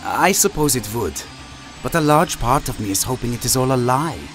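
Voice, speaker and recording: Actor as earnest young-hearted male hero, very expressive pitch, gentle, polite, music underneath, audio drama. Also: moderate pace, close to an everyday voice. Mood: tender, playful.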